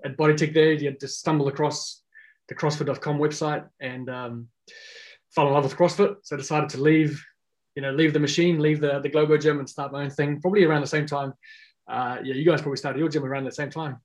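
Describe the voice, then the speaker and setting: Relaxed man, casual. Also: on the high side, brisk. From South Africa. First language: Afrikaans. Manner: rambling, many fillers and restarts. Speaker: guest. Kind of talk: casual podcast chat.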